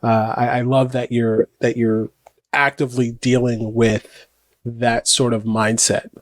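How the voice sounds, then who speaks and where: A man's voice: medium pitch, little pitch movement, unhurried, no echo. A man hosting a podcast interview, affirming his guest often and asking loose, rambling questions. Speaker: podcast host. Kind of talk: conversational interview.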